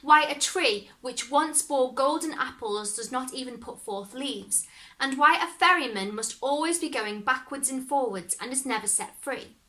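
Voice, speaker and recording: Woman playing a young storybook lad, bold, cocksure, emphatic, high pitch, bright ringing tone. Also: moderate pace. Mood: polite, eager. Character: bold, confident young male fairy-tale hero.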